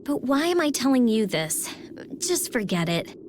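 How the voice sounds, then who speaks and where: A woman's voice: on the high side, sing-song, normal speed, background noise. A woman playing a blunt, prickly teenage girl genius in a video game, brusque and guarded. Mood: guarded, confiding. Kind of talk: English video game voice acting.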